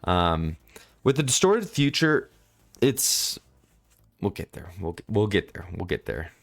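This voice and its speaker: Male reviewer, low voice. Casual off-the-cuff commentary, halting and rambling, circles back over his own phrases. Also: very animated, creaky. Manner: rambling, halting, repeats himself. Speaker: manga chapter reviewer.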